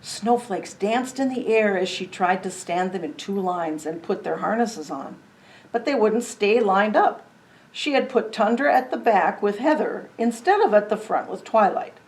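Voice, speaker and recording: A woman reading a children's story aloud in an expressive, dramatic storytelling manner. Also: mid-range voice, normal speed, poor audio. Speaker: storybook reader. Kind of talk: children's story read-aloud with chatty asides.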